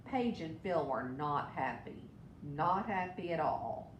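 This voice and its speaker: Unhurried woman reading a children's story, echoey. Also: animated, muffled recording. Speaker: storybook reader. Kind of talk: children's story read aloud. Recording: echoey room with background rumble.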